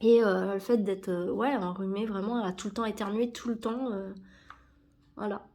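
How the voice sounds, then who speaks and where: A woman's voice: mid-range voice, steady tone, normal speed, warm tone. A young woman with a cold, chatting casually and correcting herself with many fillers as she sticks stickers into an album. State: has a cold. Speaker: YouTuber opening sticker packs.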